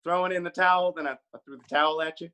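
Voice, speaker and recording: A man's voice: a little high, fairly even intonation, fast talker, sharp tone, clean tone. A man playing a goofy, teasing cowboy bar worker in a screenplay table read, jokey and folksy.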